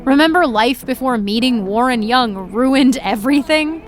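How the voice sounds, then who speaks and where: An actress playing a gloomy, weary young woman with a flat, deadpan delivery. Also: fairly high pitch, normal speed, soft background music, close to an everyday voice.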